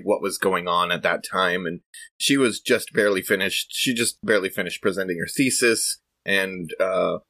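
A man narrating a tabletop roleplaying game casually, in a halting, thoughtful way as he improvises. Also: low voice, bright, forceful tone, no room sound.

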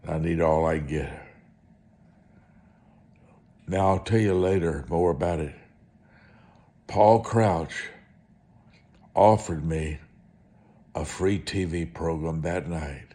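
A man preaching through personal storytelling, speaking in short, deliberate, emphatic phrases and repeating them for effect. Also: low-pitched, mellow. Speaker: preacher and author telling testimony. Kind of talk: storytelling sermon monologue.

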